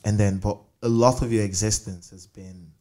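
Guy interviewer, low voice, thoughtful, circles round his questions casually. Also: fairly even intonation, normal speed, a little mellow. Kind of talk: conversational interview.

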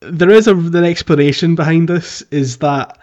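A man co-hosting a podcast, chatting casually about a video game in a hesitant, halting way. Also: fairly high pitch, darkish tone, muffled recording. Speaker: podcast co-host.

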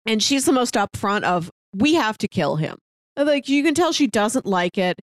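Lively, expressive woman in casual film talk. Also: a little high, normal speed, no room sound, studio quality. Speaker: podcast co-host reviewing a film.

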